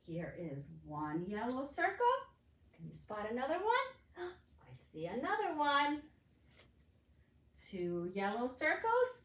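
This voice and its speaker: Female preschool storytime presenter, middle-aged, high sing-song voice, encouraging, recorded in an echoey room. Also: fairly bright tone. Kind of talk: preschool counting lesson, child-directed. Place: indoors, echoey room beside a board. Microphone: camera a few feet away. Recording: echoey room, distant microphone.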